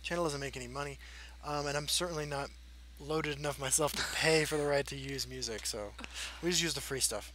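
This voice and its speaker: Man in his thirties, casual host, bright tone, faint hum behind. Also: medium pitch, fairly quick, rough recording. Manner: rambling, frank, many fillers. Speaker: channel host. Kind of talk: casual Q&A chat.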